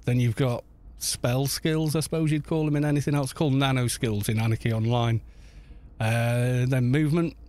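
A man's voice: mid-range voice, steady tone, moderate pace, darkish tone. A man in his fifties from Wales with a Welsh accent touched by northern English, chatting loosely and laughing easily as he streams a game. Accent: Welsh with some northern English. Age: 50s. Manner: chatty, rambling, laughs easily, reads chat aloud. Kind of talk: live stream commentary while playing.

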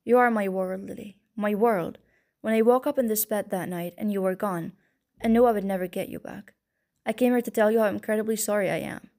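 A woman narrator voicing a remorseful young man who pleads quietly. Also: mid-range voice, brisk, lightly acted. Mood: pleading.

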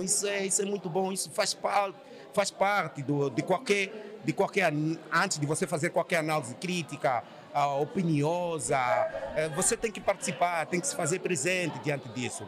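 Halting, emphatic Angolan man, higher-pitched. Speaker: interviewed community member. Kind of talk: spontaneous on-camera interview. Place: indoor hall with draped curtains. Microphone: handheld interview microphone held by interviewer.

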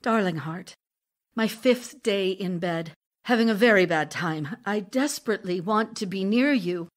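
A woman's voice: medium pitch, a little slow, natural voice. A woman playing an ailing, lonely actress wife in an audio drama of love letters, speaking in a theatrical, confiding way. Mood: pained, pleading.

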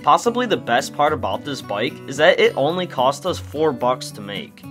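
Teen boy, lively pitch, jokey vlog host, guitar music underneath. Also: moderate pace. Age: teens. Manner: jokey, upbeat, playful. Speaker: channel host. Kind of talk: casual DIY vlog narration.

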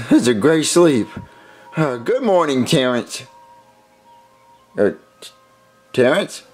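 Man acting as naive little boy puppet, whiny, stammering, anxious, very animated pitch, music behind. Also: takes it slowly, husky, full character voice. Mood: sleepy, groggy. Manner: hesitant, stammering, repeats phrases when worried. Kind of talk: scripted puppet comedy acting.

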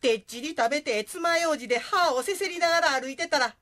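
A woman's voice: high voice, brisk, a bit bright. A woman with a Kansai accent playing a bossy, blunt dead wife who returns as a comic ghost in a radio drama. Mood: recounting. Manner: scolding, blunt.

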